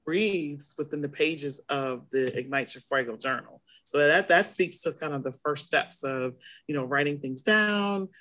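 A hoarse middle-aged woman co-hosting a podcast in casual chat, animated as she acts out her own past reactions. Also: deep voice, unhurried. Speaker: podcast co-host.

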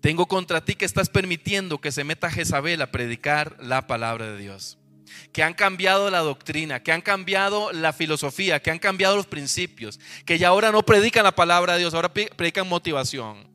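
A man's voice: fairly high pitch, expressive, fairly quick, sharp tone, faint music behind. A man with a Costa Rican accent preaching a sermon to his congregation, emphatic and full of rhetorical questions. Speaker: pastor.

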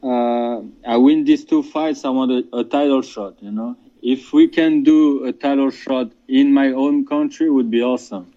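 Male fighter, dark mellow voice, halting casual answers, lo-fi line. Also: mid-range voice, fairly even intonation. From France. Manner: halting, many restarts and fillers, casual slang. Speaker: MMA fighter. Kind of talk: interview answers, remote.

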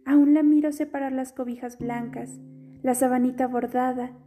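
A woman with a dark, mellow voice, pitched a little high, reads a letter aloud slowly and wistfully. Quiet guitar plays faintly behind her as she narrates. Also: steady tone. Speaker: reader of a literary letter. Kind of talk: scripted audiobook reading.

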